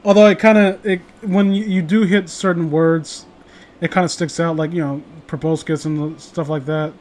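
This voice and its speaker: Male host, fairly high voice, loose sweary banter over light background noise. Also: fairly even intonation, moderate pace, darkish tone. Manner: rambling, foul-mouthed, joking. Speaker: host of a horror story reading show. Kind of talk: casual chat and commentary on stories. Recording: noisy home recording, clear background hiss.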